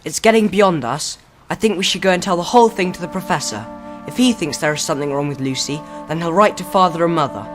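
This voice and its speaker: A woman's voice: fairly low pitch, lively intonation, quick pace, faint music behind, close to an everyday voice. Woman playing a stern, bossy schoolboy. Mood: decisive. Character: stern, protective older-brother schoolboy, bossy and indignant. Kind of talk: radio drama acting.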